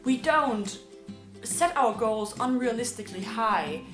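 A woman's voice: fairly high pitch, expressive, unhurried. Playful Danish woman over guitar music. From Denmark. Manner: playful and joking. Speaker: vlogger. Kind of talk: scripted vlog monologue. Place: small room indoors. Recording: echoey room with background guitar music.

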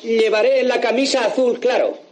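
An actor dubbing a fussy, nervous would-be bank robber in a film scene. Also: male voice, extremely high pitch, moderate pace, slight background noise. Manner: fussy, complaining. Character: nervous male would-be bank robber arguing about a shirt.

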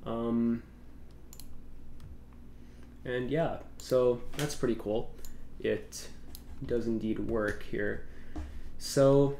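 A man's voice: mid-range voice, fairly even intonation, extremely slow, creaky, pauses often, dark tone. A young man with a congested cold voice, rambling casually through a tech vlog with lots of fillers. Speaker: tech YouTuber. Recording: echoey room with faint noise.

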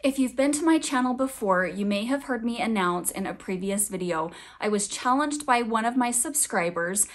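A woman in her thirties, a fashion vlogger, giving a chatty, upbeat budget update while her voice is still a little raspy from being sick. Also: on the high side, animated, moderate pace, few pauses. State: slightly raspy, scratchy voice from recent illness. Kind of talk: casual budget update vlog.

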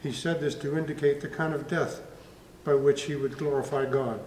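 A man's voice: a little high, fairly even intonation, moderate pace, a little mellow. An older man reading scripture aloud in church. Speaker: scripture reader. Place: church room at a wooden lectern. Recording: echoey church hall, distant mic, faint noise.

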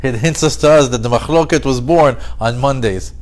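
A man's voice: medium pitch, moderate pace. A man giving a conversational Torah lesson as a religious teacher, telling it like a story with rhetorical questions and repeated phrases for emphasis. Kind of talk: animated Torah lesson with acted-out dialogue.

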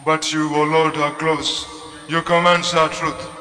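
A man leads prayer responses with hardly any rise or fall in his voice. His tone is bright and somewhat higher than most men's. The long reverb of a large church follows each phrase. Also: unhurried, a little hiss or hum. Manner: flat, even recitation. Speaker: prayer leader. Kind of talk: liturgical responses.

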